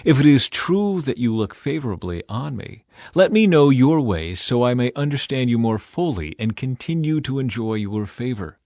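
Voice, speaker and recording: A man's voice: mid-range voice, big pitch swings, normal speed, darkish tone, dry recording. An earnest male prophet, played by a male narrator from an audio scripture. He sounds solemn and imploring, recorded dry with no room sound. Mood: earnest pleading.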